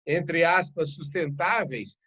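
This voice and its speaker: A male podcast host chats with his guest in a loose, colloquial, joking manner. His voice is bright and forceful, and the recording sounds dull and lo-fi. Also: on the high side, slow pace. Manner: chatty, colloquial, jokey. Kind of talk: casual interview hosting.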